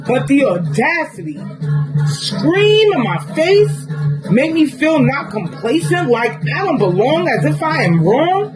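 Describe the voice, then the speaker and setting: A woman with a fairly high, wildly swinging voice performs spoken word poetry in an intense, rhythmic cadence. Guitar music plays loudly under her. Her emphasis rises and falls sharply from line to line. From New York. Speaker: poet.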